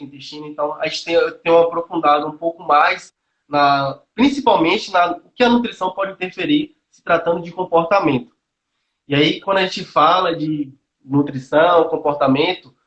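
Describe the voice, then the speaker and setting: A man's voice: on the high side, a bit bright, lo-fi sound. A young man from Salvador, Brazil, a nutrition student explaining his views earnestly on a video call, thinking aloud with frequent restarts and fillers. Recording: roomy echoey call audio.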